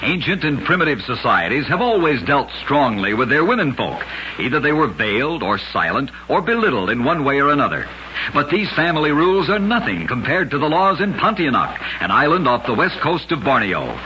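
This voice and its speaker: A man's voice: mid-range voice, very animated, a little fast, pushed and bright, muffled recording. A man narrating short oddity stories as a radio host, with a dramatic, emphatic scripted delivery on a vintage recording. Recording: noisy, band-limited vintage radio recording.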